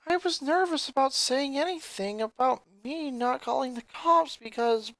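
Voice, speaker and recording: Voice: high voice, normal speed, a bit bright, dry recording, voice pushed up. A performer voicing an earnest, hurt young boy in a fan fiction story reading. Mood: nervous, earnest.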